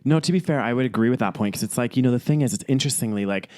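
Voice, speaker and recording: A man's voice: medium pitch, racing, a little mellow. A man in his thirties chatting casually and informally as a podcast co-host, talkative and peppering his sentences with "like". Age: 30s.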